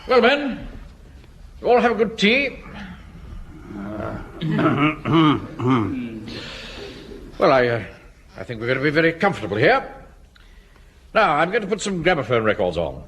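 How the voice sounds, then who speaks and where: Pompous English captain, swooping delivery. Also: male voice, a little high, sing-song, unhurried, pauses often, fairly bright tone. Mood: jovial, self-important. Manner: pompous, hesitant throat-clearing. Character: pompous, easily offended middle-aged Home Guard captain. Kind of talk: British radio sitcom acting. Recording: hissy old radio comedy recording.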